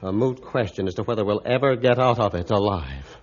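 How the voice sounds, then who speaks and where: A man playing a grim, realistic ship's captain in a formal, deliberate, old-time radio drama style. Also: fairly low pitch, close to an everyday voice. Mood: grave, weary. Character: grim, realistic male captain of an icebound ship. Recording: dull, band-limited old radio recording.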